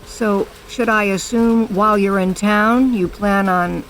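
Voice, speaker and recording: Woman acting a guarded, slow-spoken stern woman. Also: mid-range voice, steady tone, slight background noise, natural voice, poor audio. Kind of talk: audio drama acting.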